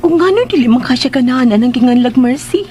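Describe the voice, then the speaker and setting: A woman's voice: sits high, lively intonation, fast talker. A woman playing a meek, anxious young woman, hesitant and submissive, in a radio drama. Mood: sad, musing.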